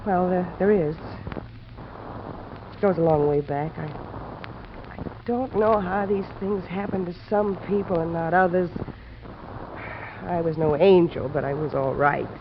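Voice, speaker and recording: Female, American, a resigned, troubled young woman; halting, intense vintage radio acting, muffled and noisy recording. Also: lowish voice. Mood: halting, ashamed. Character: fatalistic, desperate young woman addict confessing. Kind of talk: 1950s radio drama acting.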